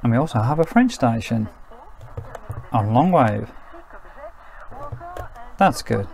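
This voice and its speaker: A man's voice: mid-range voice, lively intonation, slow, darkish tone. A British man giving casual hands-on commentary as he reviews a vintage radio. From United Kingdom. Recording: clear background noise, clicks.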